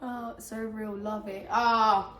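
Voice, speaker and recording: A young woman from Colombia co-hosting a chat show, loud and hyper, laughing often as she talks. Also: medium pitch, flat delivery, slow, reverberant, a little hiss or hum. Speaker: podcast co-host. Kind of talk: casual chat.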